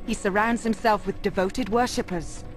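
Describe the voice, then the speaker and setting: A woman's voice: medium pitch, fairly even intonation, normal speed, close to an everyday voice, rough recording. A woman playing a wry, skeptical, defiant young woman in a video game, sardonic and challenging. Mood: mocking, skeptical. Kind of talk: video game cutscene voice acting. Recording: music behind the voice.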